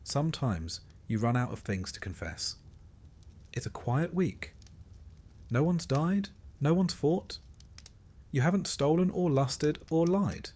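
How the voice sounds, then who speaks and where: A man reading a scripted history sleep story as narrator, in a measured way with dry, wry understatement. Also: mid-range voice, a little mellow.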